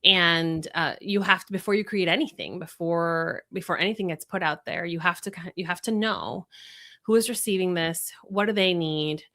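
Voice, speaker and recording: Bright-voiced woman, Spanish native speaker. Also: on the low side, normal speed. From Venezuela. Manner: thoughtful, explains with examples and rhetorical questions. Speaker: brand strategist guest. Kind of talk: conversational podcast interview.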